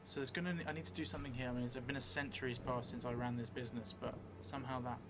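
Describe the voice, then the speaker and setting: A middle-aged man thinking aloud as he plays a solo roleplaying game, halting and trailing off as he goes. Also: medium pitch, level tone. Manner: halting, musing, trailing off as he writes. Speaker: solo tabletop roleplay streamer. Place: indoor theatre room, seated at a table. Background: music.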